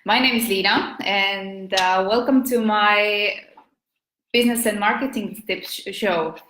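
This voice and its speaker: A woman's voice: medium pitch, measured pace, a bit bright, reverberant, slight background noise, poor audio. A woman with an Estonian accent, a business coach hosting a live video talk in her thirties, hesitant, with many fillers and restarts.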